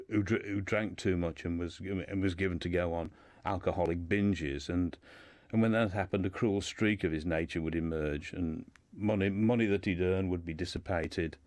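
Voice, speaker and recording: A man's voice: low voice, steady tone, moderate pace, hardly any pauses, darkish tone, no room sound. A man speaking as a guest in a radio discussion, telling a life story informally and often repeating words as he builds his sentences.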